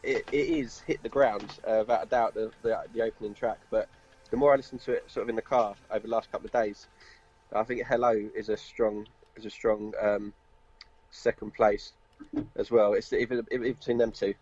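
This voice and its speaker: A man's voice: lowish voice, fairly even intonation, a little fast, darkish tone. A man, a band member talking casually in an interview. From South of England. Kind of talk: casual chat. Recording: dull, muffled lo-fi microphone.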